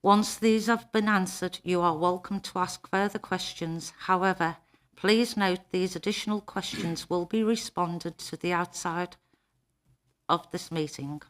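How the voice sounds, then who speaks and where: A woman's voice: fairly low pitch, a little slow. A woman chairing a council committee meeting in a formal, procedural way.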